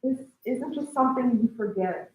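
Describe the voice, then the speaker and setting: A woman's voice: sits high, normal speed, a little hiss or hum, close to an everyday voice. A young woman acting a supportive, insistent and protective friend in a staged play reading. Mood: earnest, insistent. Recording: echoey, muffled, distant mic.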